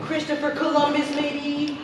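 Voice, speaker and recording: A woman's voice: high voice, monotone, a little slow. Woman playing sassy explorer, echoey hall. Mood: brash. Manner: sassy, familiar. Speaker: stage actor. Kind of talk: live stage play acting. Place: theatre stage, recorded from far off. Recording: echoey theatre, distant mic, noisy.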